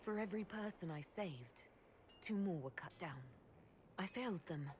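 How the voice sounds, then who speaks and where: Woman playing a composed, low-voiced fantasy leader. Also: expressive, normal speed, darkish tone, slight background noise, close to an everyday voice. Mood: sober, earnest. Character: composed, earnest young female fantasy leader, dryly wry. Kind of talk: video game character acting.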